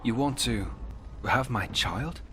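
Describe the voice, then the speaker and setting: An earnest, questioning warrior hero. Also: male voice, medium pitch, lively intonation, slow pace, bright, forceful tone, faint music behind. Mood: wary. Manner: plain, serious, questioning. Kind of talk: dramatic fantasy game dialogue.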